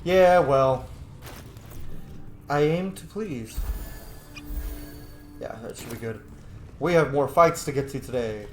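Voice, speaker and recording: A man giving casual gameplay commentary, joking, reacting out loud and swearing freely. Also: medium pitch, slow, long pauses, soft background music. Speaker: gamer, streamer. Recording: roomy echo, faint game noise.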